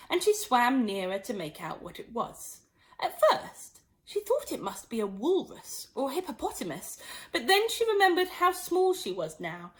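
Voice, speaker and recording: High-voiced, expressive woman storyteller. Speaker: storyteller reading aloud. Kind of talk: expressive children's audiobook narration.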